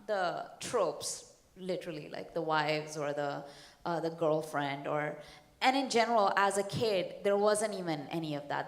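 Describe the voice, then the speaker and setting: A woman from India whose first language is Hindi talks on a panel. Her voice is very low and reflective, with hesitations and small laughs. The room around her is echoey. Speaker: panelist, writer and actor. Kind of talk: casual panel talk. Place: conference hall.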